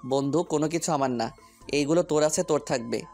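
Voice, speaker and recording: A man's voice: fairly high pitch, a little fast, a little mellow, faint music behind, close to an everyday voice. A man playing a determined businessman hero in an audio story, speaking firmly and with purpose. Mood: friendly, resolved. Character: determined businessman hero, commanding boss, loyal friend.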